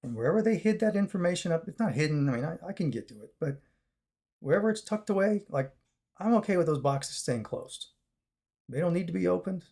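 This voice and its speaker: A man with a raspy, chesty voice, recovering after a long fast, talking casually to his viewers in a rambling way with many fillers and restarts. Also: on the high side, expressive, warm tone. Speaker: vlogger. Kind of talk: casual talk to camera.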